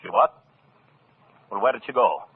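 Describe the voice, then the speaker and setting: An actor playing a jealous, suspicious orchestra maestro, imperious and sarcastic, in old radio drama style. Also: male voice, mid-range voice, lively intonation, normal speed, slightly rough. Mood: angry, impatient. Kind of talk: 1940s radio drama acting. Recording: scratchy, muffled old radio broadcast.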